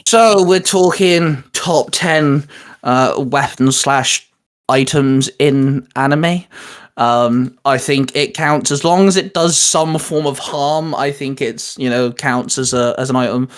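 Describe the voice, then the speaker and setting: A man's voice: medium pitch, slow. A man hosting a video in a casual, chatty way, explaining things in a rambling manner. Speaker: YouTube host discussing anime. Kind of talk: casual chat.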